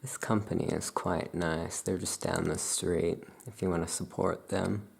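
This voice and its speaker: A low-pitched, soft ASMR voice with a heavy creak. The delivery is calm and drawn out, with long pauses, as a shopkeeper showing wares. Clear background noise sits behind the voice. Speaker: ASMR host playing a Salem witch shopkeeper. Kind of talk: soft-spoken ASMR roleplay.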